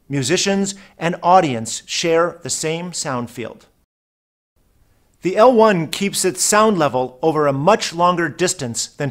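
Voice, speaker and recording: A man reading scripted product narration in a measured, precise, instructional way. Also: a little high.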